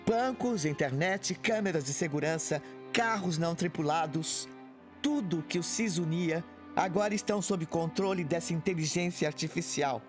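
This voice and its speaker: An actor playing a grave, authoritative space squadron commander in an audio drama. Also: male voice, on the high side, normal speed, faint music behind, close to an everyday voice. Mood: grave, commanding.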